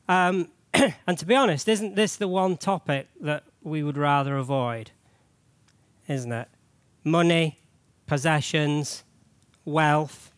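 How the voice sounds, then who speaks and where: A man's voice: fairly high pitch, no room sound. Man with a cold, preaching. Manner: chatty, jokey, frequent rhetorical questions. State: losing his voice from a cold. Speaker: church treasurer giving a sermon. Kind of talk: informal church sermon.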